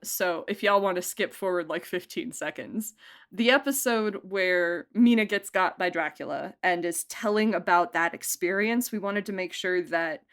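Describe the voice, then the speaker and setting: A woman's voice: on the low side, moderate pace, a bit bright. Chatty, effusive woman in an interview. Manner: chatty, effusive, laughs often while talking. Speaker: podcast guest, audio drama producer and director. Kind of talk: casual behind-the-scenes interview chat.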